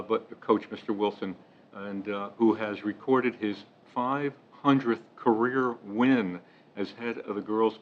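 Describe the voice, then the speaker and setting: A man serving as mayor, opening a township meeting with halting, filler-heavy, joking remarks. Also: lowish voice. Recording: dull lo-fi meeting video.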